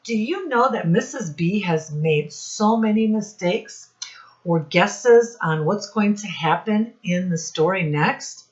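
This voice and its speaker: A woman with a slightly low voice reads a picture book to small children at a very unhurried pace. She speaks like an encouraging teacher, addressing the children directly and leaning on key words. The recording is dull and lo-fi. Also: roomy sound. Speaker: teacher reading a storybook aloud. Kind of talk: children's read-aloud with questions to young listeners.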